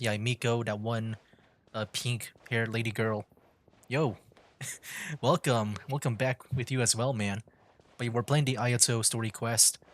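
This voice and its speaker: A man's voice: mid-range voice, a bit bright. A man doing casual livestream commentary, chatting informally and rambling as he works out his thoughts. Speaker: gaming livestreamer. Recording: game music behind. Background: video game music.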